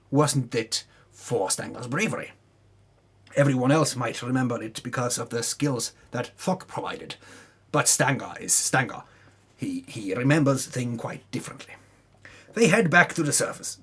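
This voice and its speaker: A man reads a fantasy campaign recap as a storyteller, theatrical and tongue-in-cheek. His voice is husky and sits a little high for a man. The pitch swings widely as he drops in wry asides. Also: fairly bright tone. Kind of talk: dramatic reading with wry ad-libbed asides.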